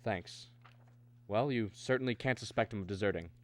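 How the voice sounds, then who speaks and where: A man's voice: lowish voice, normal speed, lightly acted. An actor in a radio drama playing an earnest, troubled man, both narrating and acting in the scenes. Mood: puzzled, subdued. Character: earnest, troubled man searching for his missing brother. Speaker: lead and narrator.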